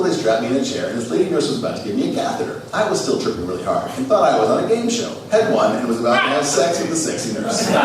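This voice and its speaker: A man hosting a live comedy show, reading aloud and telling stories with a wry manner. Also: medium pitch. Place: live on stage in a small theater. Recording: echoey theater, distant mic, noisy.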